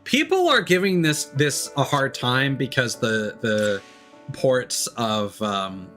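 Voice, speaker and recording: A man's voice: mid-range voice, animated, a bit bright. Rambling male game streamer over background music. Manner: rambling, halting, thinking aloud. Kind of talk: casual live game commentary. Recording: music behind the voice.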